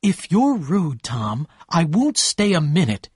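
A man's voice: a little high, sing-song, slow, breathy edge, no room sound, clean studio sound, pitched above the performer's own voice, exaggerated voice. A man voicing an unhappy young married woman in a story, plaintive and pleading, torn in love. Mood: fretful.

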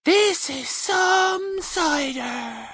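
An excitable little fox cub squeals in a very high, bright voice. He talks slowly and gets breathless with glee. A storybook figure. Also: no echo, clean studio sound, exaggerated voice. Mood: thrilled. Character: excitable small young male fox cub.

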